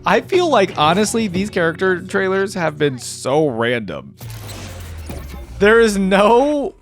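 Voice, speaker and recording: A man's voice: high voice, big pitch swings, slow. A man hosting a reaction video, thinking aloud in casual, informal commentary. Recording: music behind the voice.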